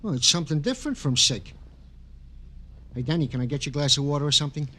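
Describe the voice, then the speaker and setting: Fretful New cop sidekick: higher voice, swooping delivery, eager and simple, old radio drama. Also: male voice, expressive, fast talker, bright, forceful tone. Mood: anxious, solicitous. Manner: fussy, eager, folksy mangled grammar. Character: fretful, devoted, slow-witted police sergeant sidekick. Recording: noisy old radio recording.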